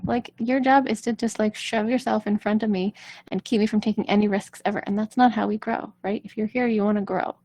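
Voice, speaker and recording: Gravelly-voiced female vocal coach. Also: medium pitch, darkish tone, no room sound. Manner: casual, reassuring, talks straight to viewers. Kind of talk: live-streamed coaching chat.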